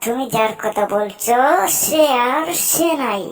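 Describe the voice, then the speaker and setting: A woman's voice: a little high, slow pace. A woman playing a boastful, mocking villainous prime minister who taunts in rhyme in a cartoon. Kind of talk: rhyming cartoon dialogue.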